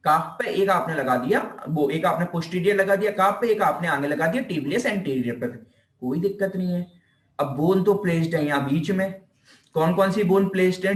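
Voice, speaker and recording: A man with an Indian accent giving an informal, emphatic physiotherapy lecture, repeating key phrases, asking rhetorical questions and mixing in English technical terms. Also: high-pitched. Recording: echoey room, distant microphone.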